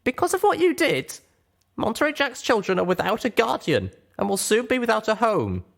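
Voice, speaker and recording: A man's voice: high-pitched, sing-song, normal speed, creaky, fairly bright tone, voice pushed up, exaggerated voice. A man voicing a stubborn, angry young female pony in a dramatized audiobook, with a harsh, emphatic delivery. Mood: stern.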